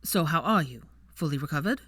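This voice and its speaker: Woman reading as a low, guarded young wizard. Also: animated, brisk, fairly bright tone, no room sound. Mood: concerned. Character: proud, guarded young wizard man, politely formal. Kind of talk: audiobook character voice.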